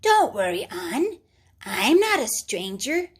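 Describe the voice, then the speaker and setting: A woman voicing a friendly, hairy red creature that is a child's anger, speaking softly and coaxingly in a storybook read-aloud. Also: on the high side, very animated, slow, husky, exaggerated voice.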